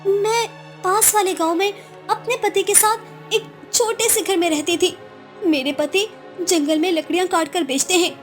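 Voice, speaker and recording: Lady doing a humble pleading young woodcutter's wife, really high cartoon voice, music faintly behind. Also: moderate pace. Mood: meek. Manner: hesitant, imploring. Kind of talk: Hindi moral-story cartoon dialogue.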